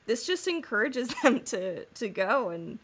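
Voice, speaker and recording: A woman's voice: a little high, very animated, unhurried, a bit bright, slightly rough. A woman co-hosting a fan podcast, joking in a laid-back way and laughing often. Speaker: podcast co-host. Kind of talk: casual fan podcast chat.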